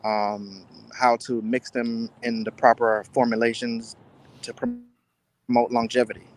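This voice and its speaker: A man speaking as an interview guest, giving earnest, deliberate answers in a casual style. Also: medium pitch, fairly even intonation, low-quality recording. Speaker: guest, spice entrepreneur.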